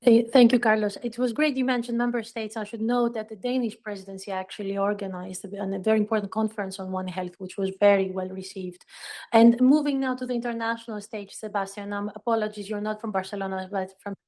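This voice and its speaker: A woman moderating a conference panel, briskly thanking one speaker and introducing the next. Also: medium pitch, steady tone, mellow.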